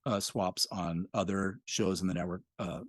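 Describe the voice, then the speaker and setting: A man's voice: low voice, fairly even intonation, moderate pace, husky, no echo, clean studio sound. A middle-aged man, a podcast creator, talking casually as a guest, reflective and full of fillers. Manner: reflective, rambling with many fillers. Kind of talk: casual interview conversation.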